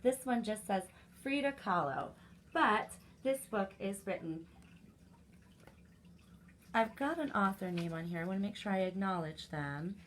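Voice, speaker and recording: A woman hosting a children's story time, reading aloud and chatting to young listeners directly, in an animated manner. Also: mid-range voice, measured pace, pauses often, slight background noise.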